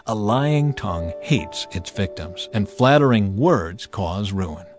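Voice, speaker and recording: A man narrating scripture as a dramatized reading, with a theatrical, emphatic and deliberate delivery over background music. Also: on the low side, expressive. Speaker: Bible narrator.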